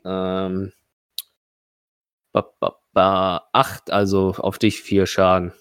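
A man with a low voice leads a tabletop roleplaying game. He talks casually and hesitantly, muttering to himself while he works out the rules. The sound is dry, with very little room in it. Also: completely flat delivery, takes it slowly, a bit bright, clean tone, no echo. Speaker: game master.

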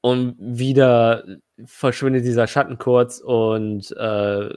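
A man running a tabletop role-playing game, improvising narration and casual rulings in a halting, hesitant way. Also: medium pitch, flat delivery, a little mellow, smooth, no room sound, clean studio sound. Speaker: game master.

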